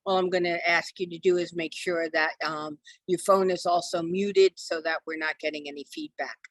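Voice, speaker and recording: Slightly hoarse woman teaching online. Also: fairly low pitch, fairly even intonation, moderate pace, no room sound. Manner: chatty, many ums, reassuring. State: losing her voice. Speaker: real estate software trainer. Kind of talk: live online training session. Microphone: video call.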